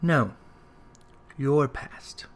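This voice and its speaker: A man performs a gentle ghostly spirit from a storybook. The voice is soft and hushed. It speaks slowly and formally, with solemn, reproachful authority. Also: mid-range voice, animated, pitched below the performer's own voice.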